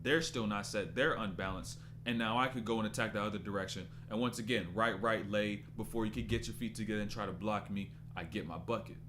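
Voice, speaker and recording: Low-voiced young man streaming chat. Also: a little fast, hardly any pauses, noisy background. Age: 20s. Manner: loose and rambling, breaks off to read chat. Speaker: basketball breakdown streamer. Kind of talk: casual live-stream commentary.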